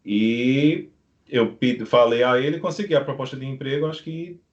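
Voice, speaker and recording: Middle-aged Brazilian man, guest in an interview, unhurried talker, dull video-call sound. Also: medium pitch. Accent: Brazilian Portuguese. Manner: unhurried, rambling anecdotes. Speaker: guest, beekeeper living abroad. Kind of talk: casual interview storytelling.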